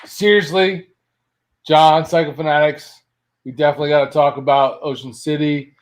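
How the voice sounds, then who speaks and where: A man hosts a relaxed, informal live stream. His voice is a little higher than most men's. He reads comments aloud and thanks viewers in a chatty way. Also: moderate pace. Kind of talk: casual live chat, reading viewer comments.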